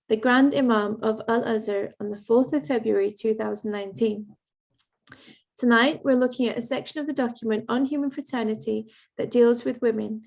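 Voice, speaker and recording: A young woman from England with an English accent opens an online gathering. Her voice sits a touch high, and she reads prepared remarks in a measured, formal way. She speaks over a video call. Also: vocal fry, darkish tone. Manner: measured, reads prepared text with occasional ums. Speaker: event host.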